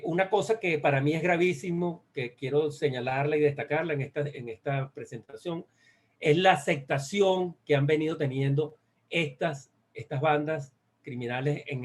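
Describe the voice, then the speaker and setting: A Venezuelan man presents research in a slightly high voice. He speaks in a halting way, doubling back on phrases and searching for the right word as he explains. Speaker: guest speaker. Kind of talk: academic presentation on a research study.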